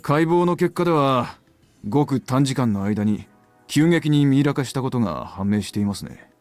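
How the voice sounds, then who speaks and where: A man's voice: mid-range voice, expressive, fast talker, darkish tone, no echo, faint music behind, lightly acted. An actor playing a brooding, analytical middle-aged male detective in a Japanese visual novel.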